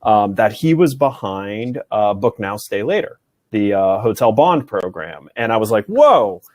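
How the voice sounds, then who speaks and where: Halting male co-host, dry sound. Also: on the low side, big pitch swings, dry recording. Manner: friendly, halting, many uhs. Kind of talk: casual introduction.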